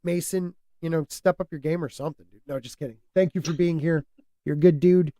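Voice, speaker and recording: A man hosting a casual podcast, stuffed up and losing his voice, talking in an animated, opinionated way and laughing often. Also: fairly high pitch, a little fast, no echo, studio quality. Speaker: podcast host.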